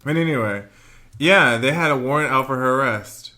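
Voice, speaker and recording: A man with a New York accent co-hosting a podcast, relaxed and casual, swearing as he tells stories. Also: mid-range voice, moderate pace. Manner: laid-back storytelling, swears casually. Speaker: podcast co-host. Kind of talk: casual chat.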